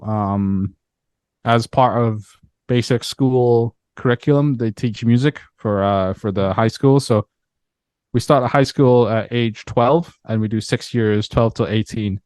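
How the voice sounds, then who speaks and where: A man from Myanmar in his thirties with a Scottish accent, talking through his life story as an interview guest, relaxed and reflective with many repeated words. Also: mid-range voice, a little slow, clean tone, no room sound. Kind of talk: casual interview chat.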